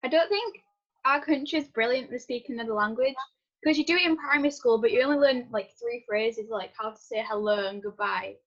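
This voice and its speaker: A woman's voice: a little high, fairly quick. A teenage girl chatting casually as a guest, candid and full of fillers like "like". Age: teens. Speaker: young guest. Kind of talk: casual chat. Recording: dull lo-fi sound.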